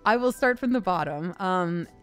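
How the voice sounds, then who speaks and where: A woman voice actor hosting a casual question-and-answer chat in an expressive, conversational way. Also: on the low side, normal speed, clear, resonant tone, soft background music.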